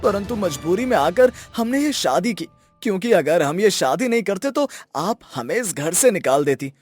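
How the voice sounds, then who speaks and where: A man plays a selfish grown son in a cartoon family tale. The voice is high for a man and declamatory, with music running underneath. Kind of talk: Hindi moral story cartoon dubbing.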